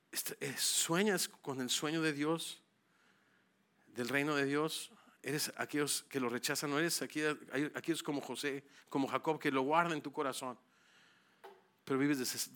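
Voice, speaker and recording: A man's voice: medium pitch, sharp tone. A middle-aged man, a pastor giving a conversational sermon, stumbling over and repeating words. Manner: conversational, repeats words, calls listeners bro.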